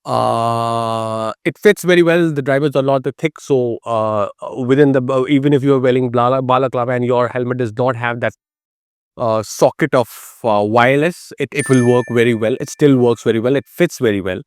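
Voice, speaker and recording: A man in his thirties with an Indian accent gives a casual, unscripted product review, haltingly, repeating words for emphasis. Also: medium pitch, clear, resonant tone, no echo, studio quality. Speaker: motorcycle gear reviewer. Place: outdoors in a garden beside a motorcycle.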